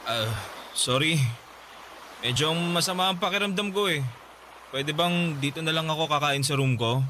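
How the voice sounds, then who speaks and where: An actor playing a gentle, grateful, feverish twenty-year-old rich son in a Tagalog radio drama, polite and weary. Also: male voice, a little high, moderate pace, a little hiss or hum. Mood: weak, apologetic.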